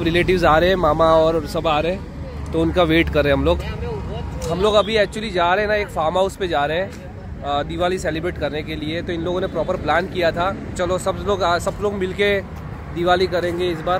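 Chatty man vlogging beside a highway. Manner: explaining, chatty, pointing things out. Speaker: vlogger, father. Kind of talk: casual family vlog. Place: roadside outdoors by a highway. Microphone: phone held at arm's length. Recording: traffic and outdoor noise.

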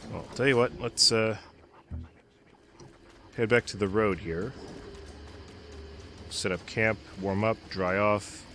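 A man's voice: fairly low pitch, slow pace, pauses often, slight background noise. A man casually commenting while playing a game, a bit congested from a cold and muttering to himself as he goes. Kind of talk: casual let's-play commentary. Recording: voice with loud background music. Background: background music.

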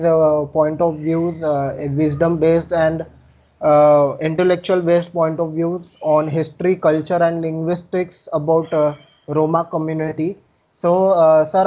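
A young man hosting an interview, speaking hesitantly with many fillers through a headset on a video call. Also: on the high side. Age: young adult. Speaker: interview host, channel founder. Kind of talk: interview introduction and questions. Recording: dull lo-fi call audio.

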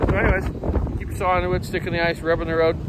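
A man's voice: sits high, little pitch movement, rapid-fire. A Canadian man in his fifties talking casually to camera in a rambling, folksy way, recorded on a phone at arm's length on a ferry deck. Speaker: vlogger, chainsaw shop owner. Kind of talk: casual vlog chat to camera. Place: open deck and windowed shelter of a ferry at sea. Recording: loud wind and boat noise.

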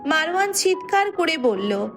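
A woman narrates a scripted story in a high-pitched voice. Faint music runs beneath her reading. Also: big pitch swings, unhurried.

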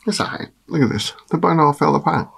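A man in his forties with a raspy, slightly hoarse voice, chatting casually while eating a meal on camera. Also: fairly high pitch, fast, fairly bright tone, slight background noise. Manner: chatty, eats and talks with mouth full. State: hoarse from a cold. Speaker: food vlogger. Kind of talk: casual mukbang chat. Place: dark room at a table.

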